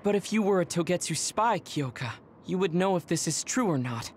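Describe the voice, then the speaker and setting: A man voicing a composed, polite and analytical young squad member in a video game, measured and inquisitive. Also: high-pitched, animated, slight background noise. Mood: calm, probing. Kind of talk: video game cutscene voice acting.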